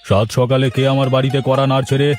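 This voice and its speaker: A man's voice: medium pitch, little pitch movement, quick pace, darkish tone, faint music behind, close to an everyday voice. A man playing a cruel, haughty village landlord in a cartoon, domineering and scornful. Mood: scornful, angry. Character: cruel, haughty village landlord barking threats at poor farmers. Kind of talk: Bengali cartoon dialogue acting.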